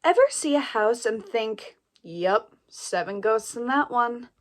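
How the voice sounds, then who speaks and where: A woman's voice: a little high, expressive, slow. A woman playing a wry adult sister who trades dry jokes in audio play dialogue. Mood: wry, amused. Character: wry adult sister joking about family ghosts.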